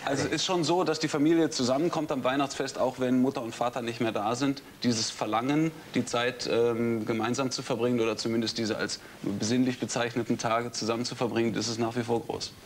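Man, 30s, TV talk show host, studio, attentive, mid pitch, faint hum. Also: fairly even intonation, a little fast, few pauses. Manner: attentive, with frequent murmured acknowledgments. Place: TV studio with audience.

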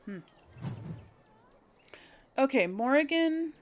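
Slow-paced woman musing over a game. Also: high voice, lively intonation. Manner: musing aloud, hesitant deliberation. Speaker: let's play host. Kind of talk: casual gameplay commentary.

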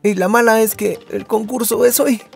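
A man playing an excitable, scheming, upbeat teenage hero student in a comic fandub, eager and wheedling. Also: sits high, normal speed, creaky, soft background music.

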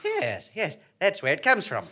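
A man's voice: sits high, huge pitch swings, measured pace, bright, forceful tone, a little hiss or hum, pitched above the performer's own voice, exaggerated voice. A man playing a tired, fussy, dutiful old man with a hesitant manner in a live comedy audio drama. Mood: mild, fussy. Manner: hesitant, mild, dithering. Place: small theatre stage before a seated audience.

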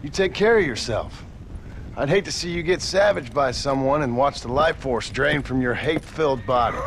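An actor playing a gruff middle-aged American cowboy gunslinger in a video game, speaking with dry sarcasm. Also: male voice, medium pitch, lively intonation, normal speed, a bit bright, slight background noise. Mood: sardonic. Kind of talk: video game cutscene acting.

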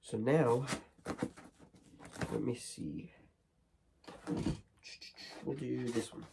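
A teenage boy with a cold, hoarse, chatting casually with many ums and restarts while unboxing movies in his bedroom. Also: mid-range voice, extremely slow, noisy background. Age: teens. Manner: rambling, lots of ums and restarts. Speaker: horror movie vlogger. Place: small bedroom studio with shelves.

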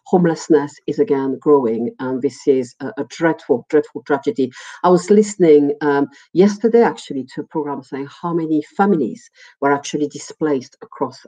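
A middle-aged woman with a French accent presents a webinar as a lecturer in a conversational, halting, digressive way, often repeating words. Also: sits low, dark tone. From France. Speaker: university lecturer. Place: small indoor room, video call. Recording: muffled, dull webinar audio.

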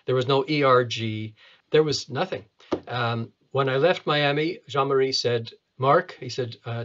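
A man in his seventies from Canada, a retina surgeon reminiscing in an interview, halting and often repeating words as he goes. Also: mid-range voice, fairly bright tone, muffled recording. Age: 70s. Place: small clinic office, video call.

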